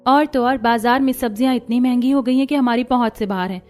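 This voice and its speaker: High-voiced protective village mother-in-law played by a woman. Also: fast, a little mellow, soft background music, natural voice. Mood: indignant. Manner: righteous, motherly, admonishing.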